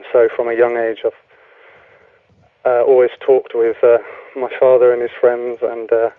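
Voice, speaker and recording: A young man talks over a tinny, phone-like line with dry, close sound. His voice is flat and even in pitch, and he speaks in a relaxed, hesitant way, pausing often on ums as he tells his story. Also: no room sound. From Wales. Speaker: guest author. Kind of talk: radio interview.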